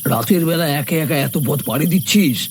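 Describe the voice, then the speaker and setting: A man's voice: a little high, fairly quick, a little hiss or hum, natural voice. A man voicing an anxious, doting older father in a horror cartoon, fussing and repeating his words. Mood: worried, tender. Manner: fussy, repeats short words. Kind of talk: animated horror cartoon dialogue.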